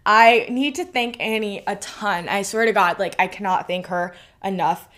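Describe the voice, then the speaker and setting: A woman's voice: mid-range voice, moderate pace, a bit bright. A bubbly woman hosting a podcast, chatting casually and laughing often. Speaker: podcast host. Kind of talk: casual chat.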